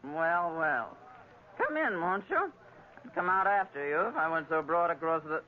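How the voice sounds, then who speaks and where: Casting: brassy middle-aged female bar owner, gushing flirt, highly animated delivery, vintage radio sound. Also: moderate pace. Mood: inviting. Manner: gushing, teasing, theatrical. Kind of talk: 1940s radio acting. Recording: muffled, noisy vintage 1940s radio recording.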